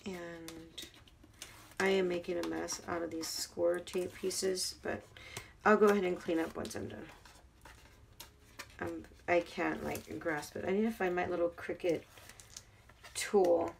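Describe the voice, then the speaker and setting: A woman hosting a craft tutorial, talking casually while she works with her hands, her voice hoarse. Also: fairly low pitch, little pitch movement, unhurried, long pauses, slight background noise. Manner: chatty, talks while working with her hands. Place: craft table at home.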